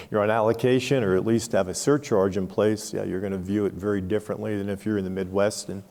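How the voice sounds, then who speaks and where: Low gravelly guy on an industry panel, mellow tone, frank and measured, hall echo. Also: fairly even intonation. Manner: frank, measured, explains with examples. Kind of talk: unscripted panel discussion. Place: conference hall. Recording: long reverb of a conference hall.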